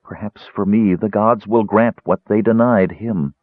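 A man reading aloud voicing a devout woman cleric in a slow, hushed, fervent way. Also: fairly low pitch, fairly even intonation, dry recording. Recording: tinny phone-like dry sound.

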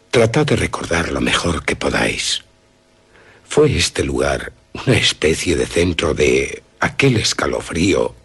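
A man playing a grave, courteous country doctor in a radio drama, with a husky Castilian Spanish voice and measured, formal, authoritative delivery. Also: extremely low pitch, fairly bright tone, heavily acted. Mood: probing, courteous.

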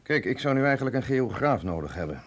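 A man playing an urbane, skeptical physiologist in a radio drama, speaking with measured, ironic eloquence. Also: medium pitch, sing-song. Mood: thoughtful, doubtful. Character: wry, skeptical male physiologist, urbane and philosophical. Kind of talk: Dutch radio drama acting.

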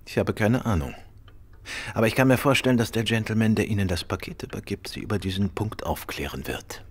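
An actor playing a calm, assured gentleman detective in a radio drama, speaking in a measured, polite, probing way. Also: on the low side, breathy edge. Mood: calm, firm.

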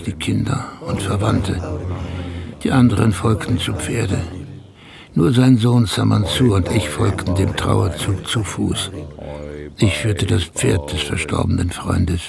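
An actor playing a sombre old man who narrates his memories in a radio play, grave and deliberate, with a gravelly voice. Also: low-pitched, exaggerated voice.

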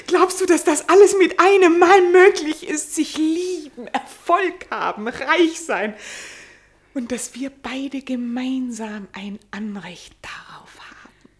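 An actress in a German radio drama playing a passionate, affectionate and wry leading lady of a theatre troupe, speaking theatrically. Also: sits high. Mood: overjoyed, awed. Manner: theatrical, deliberate, emotive.

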